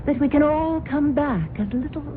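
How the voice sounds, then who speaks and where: An actress playing a wealthy wife who gushes about a cult, with a breathy, gushing manner, in an old radio drama. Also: female voice, sits high, normal speed, slight background noise, lightly acted. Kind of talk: 1970s radio drama acting. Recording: phone-like old radio broadcast with music.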